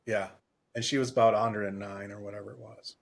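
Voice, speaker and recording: A man's voice: on the low side, little pitch movement, fast, vocal fry. A man co-hosting a podcast, talking casually and off the cuff, often repeating himself and rephrasing as he goes. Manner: rambling, repeats phrases, self-correcting. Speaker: podcast co-host. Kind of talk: casual unscripted book discussion.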